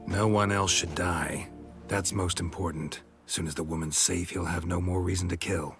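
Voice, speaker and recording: A man playing a stoic, world-weary monster hunter in a video game, speaking in terse, deadpan phrases. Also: sits low, normal speed, faint music behind. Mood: calm, matter-of-fact.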